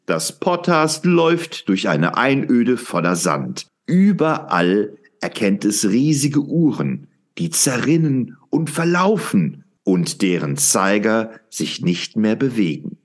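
Slow, theatrical male storyteller with swooping pitch. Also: very animated. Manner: theatrical, addresses the listener directly. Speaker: narrator. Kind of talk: scripted audio play storytelling.

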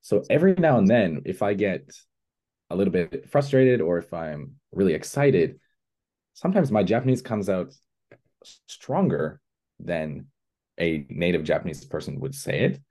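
A young man hosting a casual interview chat, relaxed and thoughtful, sharing his own stories. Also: sits low, moderate pace, husky. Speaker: podcast host.

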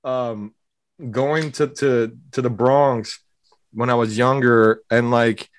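A man's voice: medium pitch, fairly even intonation, takes it slowly. A man from Hialeah, Florida, hosting a podcast in a laid-back, casual way. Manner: laid-back, says bro a lot. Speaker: podcast host.

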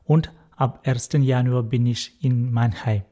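Young indian guy talking slow and careful, lots of ums, soft dark voice. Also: medium pitch, steady tone. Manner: careful, halting German with fillers, recently learned. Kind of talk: interview answers.

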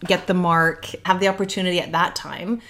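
A woman recounts her memories in a thoughtful, unhurried way. Her voice sits a little low for a woman, and she fills her story with detail. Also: steady tone, a bit bright. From Canada. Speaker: guest. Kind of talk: casual conversation, personal storytelling.

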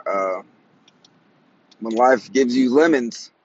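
A man in his thirties hosting a casual solo podcast, with a high, raspy, cracky voice, talking in slang, rambling and laughing at his own jokes. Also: takes it slowly, no room sound, muffled recording. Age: 30s. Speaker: podcast host.

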